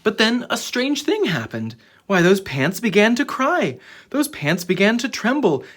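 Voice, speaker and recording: A storyteller with a husky voice reading a children's book aloud in a dramatic, expressive way. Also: mid-range voice, unhurried. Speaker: children's story reader and channel host.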